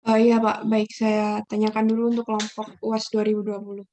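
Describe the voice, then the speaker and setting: Casting: young woman, average pitch, deferential and courteous student representative replying to a lecturer. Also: flat delivery, a little fast, mellow. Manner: polite, deferential. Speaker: student class coordinator. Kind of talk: answering a lecturer in an online class.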